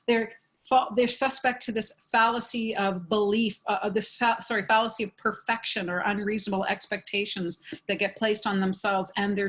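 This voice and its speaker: A woman's voice: mid-range voice, fairly bright tone. Woman, professor on a panel, earnest, explanatory, repeats herself for emphasis. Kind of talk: panel discussion.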